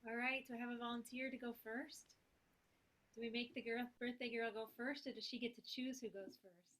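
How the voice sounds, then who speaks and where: A woman's voice: a little high, steady tone, fairly quick, a bit bright. A woman teaching a composition class online, giving encouraging and chatty feedback.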